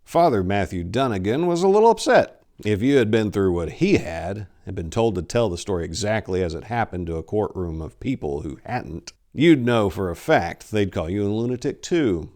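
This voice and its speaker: Male narrator, forties, lowish pitch, theatrical and deliberate, for dramatic short-story readings. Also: very animated, a little mellow. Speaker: host, author reading own short story. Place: indoors, book-lined room. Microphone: lapel mic.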